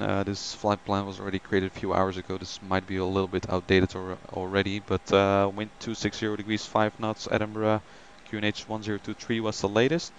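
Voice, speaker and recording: Low-voiced man live streaming a flight. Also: slight background noise. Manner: chatty, greets viewers by name, many ums. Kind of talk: casual live stream chat.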